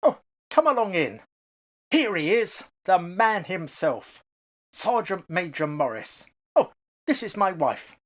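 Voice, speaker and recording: Man as a blustery, very animated old father. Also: sits high, slow pace, exaggerated voice. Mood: jovial, hospitable. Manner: blustery, exclamatory. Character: grumbling, hospitable elderly father, retired factory worker. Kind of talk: radio play acting.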